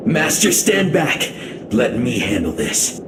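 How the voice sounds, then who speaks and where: A woman's voice: extremely low pitch, very animated, takes it slowly, exaggerated voice. A woman playing a loyal, zealous disciple in a video game, urgent and deferential toward a master. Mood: protective, indignant. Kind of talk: dramatic video game voice acting. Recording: roomy game audio, noisy background.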